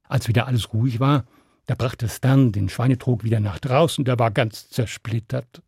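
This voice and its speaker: A man telling a fairy tale to children in an expressive, dramatic storyteller's manner with deliberate pauses. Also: medium pitch, vocal fry, slightly rough, dry recording, clean studio sound.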